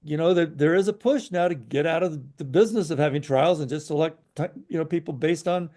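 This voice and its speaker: A man's voice: a little high, brisk, darkish tone. A man co-hosting a podcast, giving frank opinions in casual chat, hesitant and full of fillers. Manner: frank, hesitant, many "you know" fillers. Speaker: podcast co-host.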